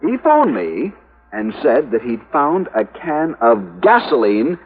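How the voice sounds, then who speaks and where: Anxious pedantic insurance official, very animated pitch, hesitant, old radio drama, dull lo-fi sound. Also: male voice, slow, soft background music. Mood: worried. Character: fussy, anxious male insurance executive, a perennial worrywart. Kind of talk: 1950s radio drama acting. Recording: muffled vintage 1950s radio recording.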